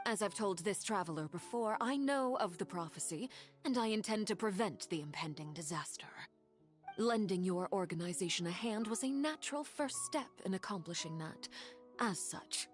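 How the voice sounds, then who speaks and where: A woman playing a formidable, composed commander who speaks formally and deliberately in a video game. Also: medium pitch, lightly acted. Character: composed, formidable female commander of a powerful organization.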